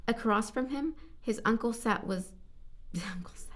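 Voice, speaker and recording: Halting woman narrator rehearsing lines. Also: on the high side. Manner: halting, stumbles over words. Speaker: amateur voice actor reading narration. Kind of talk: scripted narration rehearsal with retakes.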